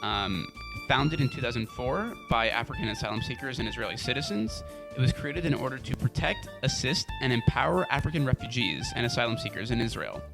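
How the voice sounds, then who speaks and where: Man, podcast host, scripted intro, animated delivery, guitar music behind. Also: medium pitch, brisk, creaky, hardly any pauses, low-quality recording.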